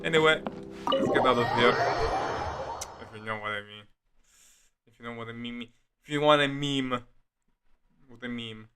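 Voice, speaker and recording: A man's voice: mid-range voice, moderate pace, long pauses, sharp tone. A man streaming a game with casual live commentary, rambling, giggly and often repeating himself. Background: faint game music.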